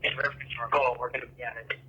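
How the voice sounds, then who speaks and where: A man's voice: medium pitch, level tone, very fast, sharp tone, breathy edge. A male police officer calling in over a two-way radio in terse, procedural talk. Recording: noisy muffled police scanner radio.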